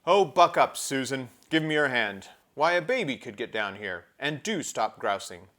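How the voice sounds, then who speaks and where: A man reading aloud and voicing a brisk, encouraging older boy who leads as king. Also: medium pitch, lively intonation, a bit bright, pitched above the performer's own voice. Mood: impatient.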